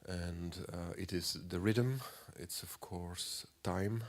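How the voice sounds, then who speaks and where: Man with a cold, very low voice. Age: 40s. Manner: halting, many fillers and throat clearing. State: has a cold. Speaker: artist giving a talk. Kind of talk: lecture.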